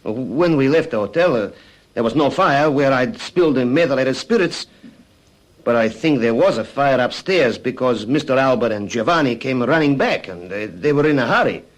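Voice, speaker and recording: A man acts out a cowed suspect in a classic radio crime drama. His voice is of middling pitch. He speaks in earnest, halting phrases, repeating himself as he eagerly gives up what he knows. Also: expressive, natural voice, low-quality recording. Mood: halting confession. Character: cowed, eager-to-confess male arson suspect, Italian immigrant.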